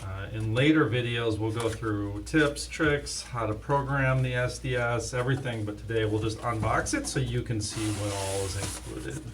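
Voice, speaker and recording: A man's voice: medium pitch, normal speed, few pauses. A man hosting a casual, unscripted product demonstration, talking like an in-house expert. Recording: distant mic, faint background noise.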